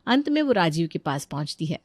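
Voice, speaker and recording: A woman's voice: on the low side, very animated, brisk, darkish tone, no echo, clean studio sound. A woman delivering scripted storytelling narration as the narrator of a family tale.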